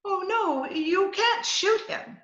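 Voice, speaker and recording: A woman's voice: very high-pitched, takes it slowly, fairly bright tone, full character voice. A woman playing a scheming, coaxing woman who poses as a poisoner gangster, with breezy melodramatic patter in a 1940s-style radio comedy. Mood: coaxing, nervous. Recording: roomy, slightly dull video-call recording.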